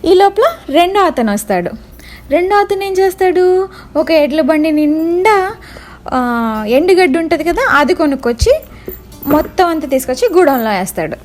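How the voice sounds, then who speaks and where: A woman telling a bedtime story to children in an animated way, addressing them directly and mixing in English words. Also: extremely high pitch, moderate pace. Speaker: storyteller and channel host. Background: faint music.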